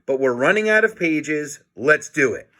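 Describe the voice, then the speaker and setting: A man's voice: fairly high pitch, expressive, moderate pace, sharp tone. A man reading the part of a wry, bossy schoolboy ringleader from a comic storybook, matter-of-fact.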